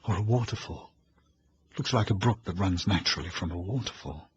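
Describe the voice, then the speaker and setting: A man voicing an idle, mocking young man who chants in a nasal monotone. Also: sits low, fairly quick, breathy edge, dull audio. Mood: eager. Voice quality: nasal monotone when singing.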